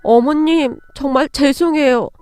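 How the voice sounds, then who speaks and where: A woman's voice: high voice, sing-song, a little fast, no room sound, soft background music. A woman voicing a resentful, wounded young new mother in a dramatized story, with an emotional delivery. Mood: tearful.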